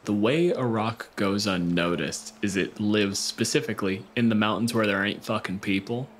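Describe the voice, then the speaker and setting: A man with a fairly low voice runs a tabletop game as its host and game master. He talks casually and irreverently, swearing freely as he narrates and banters with players. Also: moderate pace, slight background noise.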